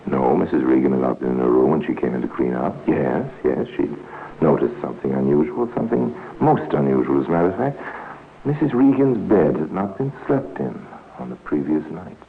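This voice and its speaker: An extremely deep-voiced storyteller of an old radio crime series. He is measured and grave with a wry edge. The vintage recording is tinny, with some hiss. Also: animated, gravelly, exaggerated voice. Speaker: narrator. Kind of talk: 1950s radio crime drama narration.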